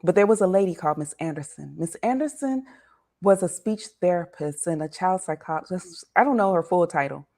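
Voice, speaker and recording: A woman in her forties from Washington, D.C., talks through her story as an interview guest. Her voice sits fairly low, and she speaks in a measured, reflective way, letting many sentences settle softly at the end. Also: lively intonation.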